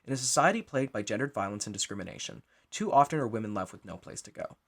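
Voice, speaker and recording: A man's voice: lowish voice, animated, fast. A man giving a scripted introduction as the writer and director of a show.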